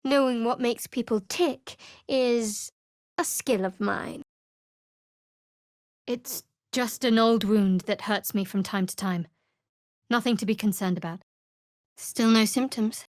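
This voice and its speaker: A woman voicing a guarded, sardonic young cleric of a dark goddess in a fantasy video game, with a dry, wry, measured delivery. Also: fairly high pitch, dry recording, lightly acted.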